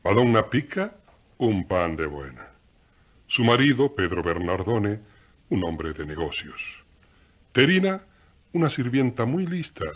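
Genial storyteller, low husky voice, conversational, animated, radio drama narration. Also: male voice, highs cut off. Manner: conversational, addressing listeners directly. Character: chatty, genial male storyteller.

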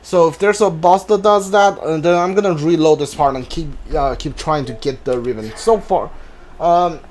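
Hesitant, higher-voiced male game streamer. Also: normal speed, a little mellow. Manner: hesitant, rambling, thinking aloud. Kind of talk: casual live playthrough commentary. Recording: faint background noise from game ambience. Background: video game ambience.

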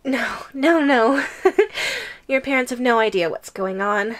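Possessive giantess for ASMR roleplay, female actor: high pitch, unhurried delivery, coy and giggly with hesitant, quiet menace. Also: lightly acted. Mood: coy, bargaining.

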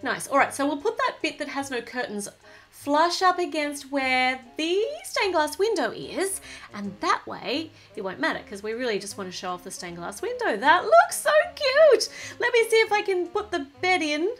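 A woman's voice: sits high, sing-song, moderate pace, fairly bright tone. A woman casually narrating a toy build at a table, thinking aloud and gushing over the cute little details.

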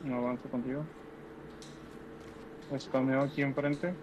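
A man's voice: medium pitch, level tone, unhurried, dull audio, background noise. A man making terse, urgent tactical callouts to his team while playing a shooter game. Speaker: gamer streamer. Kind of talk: quick team callouts during play.

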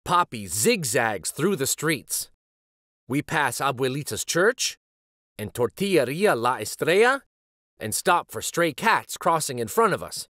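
A man narrates a children's storybook with hugely varied, swooping intonation. He takes his time over the phrases, and the recording is close and dry. His delivery is expressive and deliberate. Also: medium pitch, dry recording. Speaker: children's read-aloud host and storyteller. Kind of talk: expressive picture book narration.

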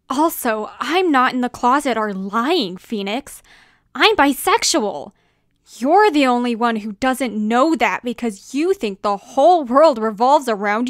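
A woman's voice: fairly high pitch, fairly bright tone, dry recording, clean studio sound. Woman acting a wounded, defensive young man. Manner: emotional, emphatic. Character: hurt, defensive young man in a breakup quarrel.

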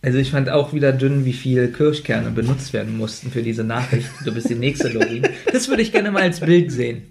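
A man's voice: medium pitch, animated, a little fast, gravelly, a little mellow, a little hiss or hum. A man talking informally as a podcast co-host, retelling and commenting on a story. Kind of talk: casual chat, retelling and critiquing a story.

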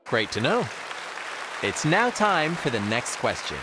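A man voicing an upbeat cartoon quiz show host in a video game, with a theatrical, drawn-out announcer delivery. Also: medium pitch, sing-song. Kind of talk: scripted game show hosting. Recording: reverberant studio with crowd noise.

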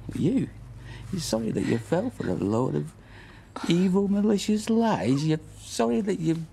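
A man's voice: high-pitched, big pitch swings, moderate pace, creaky, dark tone, slight background noise, heavily acted. An actor playing a wounded young man who answers with bitter, mocking sarcasm. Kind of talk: broadcast drama acting.

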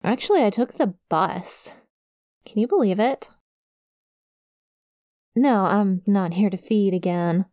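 Woman as an adorkable, mellow, slow-talking succubus. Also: medium pitch, no room sound, studio quality, close to an everyday voice. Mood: chatty, awkward. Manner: shy, hesitant, giggly, casual. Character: friendly, awkward, adorkable young female succubus demon. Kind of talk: ASMR roleplay, intimate one-sided conversation to the listener.

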